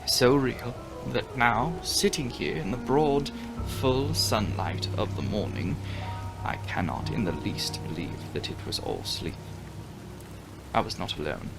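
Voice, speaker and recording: A man giving a theatrical dramatic audiobook reading of a gothic novel, shifting between hushed passages and strong emphasis. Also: fairly low pitch, rough recording. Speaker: audiobook narrator.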